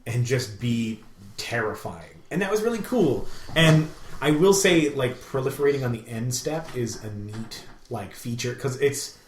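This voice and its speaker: A man's voice: mid-range voice, lively intonation, unhurried, a little hiss or hum. Enthusiastic, rambling man in an echoey room. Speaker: podcast co-host. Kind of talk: casual chat. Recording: roomy, echoey room sound.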